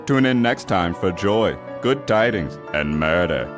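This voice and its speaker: A man's voice: sits low, lively intonation, measured pace. A man playing a grandiose radio serial announcer, speaking in a theatrical, portentous way. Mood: dramatic, teasing. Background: music.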